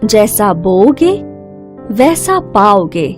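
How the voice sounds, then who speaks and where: A woman narrating a scripted children's story with a measured storyteller's delivery. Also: medium pitch, very animated, soft background music.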